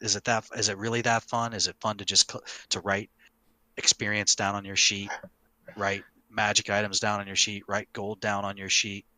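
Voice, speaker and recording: A man's voice: lowish voice, flat delivery, moderate pace, pushed and bright, dry recording, low-quality recording. A man hosting a casual podcast discussion, explaining his points emphatically with repeated phrasing.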